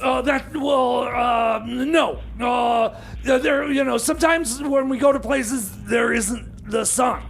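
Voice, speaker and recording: Man playing a very high, creaky, stammering mechanic. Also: shouting, faint music behind, full character voice. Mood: flustered, eager. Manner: stammering, repetitive, halting. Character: excitable, overconfident, flustered male time machine mechanic. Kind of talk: comedy radio theater, improvised.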